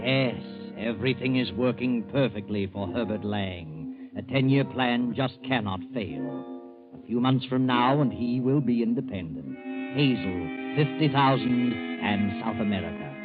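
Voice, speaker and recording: A man's voice: mid-range voice, expressive, measured pace, phone-quality sound, lightly acted. An actor playing a sardonic, all-knowing male narrator of a 1940s radio suspense drama, with an ironic delivery. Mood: knowing, ominous. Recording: scratchy, phone-thin 1940s radio recording, loud music.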